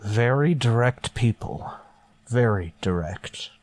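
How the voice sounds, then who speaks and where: An actor playing a grim, authoritative starship captain in a golden age sci-fi radio drama, speaking in a stern, deliberate way. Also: male voice, medium pitch, expressive, a little mellow.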